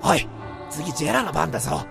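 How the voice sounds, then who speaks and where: A man's voice: a little high, animated, fairly quick, vocal fry. A man voicing a bossy young schoolboy in a radio drama, with a blunt, urging manner. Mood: impatient. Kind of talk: radio drama child acting. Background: music.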